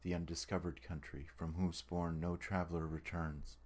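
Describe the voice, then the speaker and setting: Brooding prince played by a man, low-pitched, weary of the world, deliberate classical stage soliloquy. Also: level tone, a little mellow. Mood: rising anguish. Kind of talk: dramatic soliloquy reading, Shakespearean verse.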